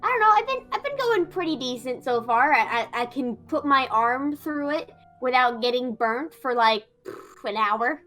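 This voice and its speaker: A woman with a bright, somewhat high voice plays a reformed fire mage, hesitant and stumbling over repeated words. Faint music sits behind her. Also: expressive, moderate pace, few pauses. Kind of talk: improvised character roleplay.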